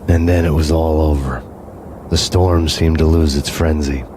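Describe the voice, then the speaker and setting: An actor playing a world-weary, hard-boiled cop who narrates a noir game in a grave, deliberate way. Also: male voice, very deep voice, fairly even intonation, mellow. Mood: grim, weary. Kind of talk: film noir scripted narration. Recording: music behind the voice.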